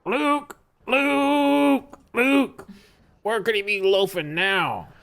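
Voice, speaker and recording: A man playing a gruff, irritable farmer uncle in an amateur table read of a film script. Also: very high voice, extremely slow, fairly bright tone, heavily acted. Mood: yelling, impatient.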